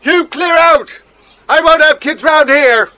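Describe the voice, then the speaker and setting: A crotchety old caretaker in a children's radio play, very high and sharp in voice. He snaps suspiciously and drags his words out slowly. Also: dry recording, exaggerated voice. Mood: cross, suspicious. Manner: gruff, snappish, suspicious. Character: angry, suspicious old male caretaker shooing children away. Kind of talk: children's audio drama acting.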